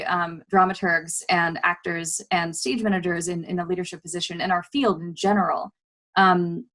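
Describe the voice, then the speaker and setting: A woman's voice: on the low side, fairly bright tone. A young woman, a graduate student, answering interview questions in a conversational way. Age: young adult.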